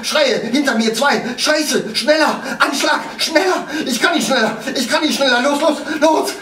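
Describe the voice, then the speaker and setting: A man's voice: very high voice, moderate pace, bright, forceful tone, voice pushed up. A man playing Jim, a panicked young man on the run. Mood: frantic. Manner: breathless clipped inner monologue, frantic. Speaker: actor. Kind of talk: stage monologue. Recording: echoey stage sound from far off, faint ambience.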